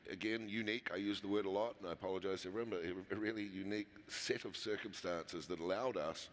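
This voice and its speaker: Deep-voiced New Zealand man, hall echo. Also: fairly even intonation, fast talker. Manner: conversational, many fillers and restarts. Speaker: mining company founder. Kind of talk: conference interview. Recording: long hall reverb.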